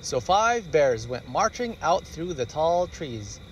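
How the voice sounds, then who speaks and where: A male storyteller narrates rhyming children's verse with lots of pitch movement. He takes his time, and faint ambient noise sits behind the voice. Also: sing-song, slow pace, low-quality recording.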